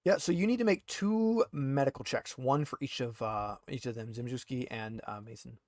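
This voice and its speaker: A man speaks as game master of a tabletop roleplaying session. His voice is mid-pitched and recorded very dry, and he explains and narrates casually, pausing to think aloud. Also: a little mellow, dry recording.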